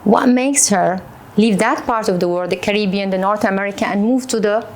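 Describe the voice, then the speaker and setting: A woman's voice: mid-range voice, fairly quick, slight background noise. A woman hosting an interview, encouraging her guest with short affirmations and questions. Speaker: interview host.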